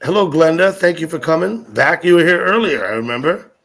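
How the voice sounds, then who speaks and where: A man's voice: a little high, lively intonation, brisk, creaky, fairly bright tone. A man hosting a casual chat, asking blunt, probing questions.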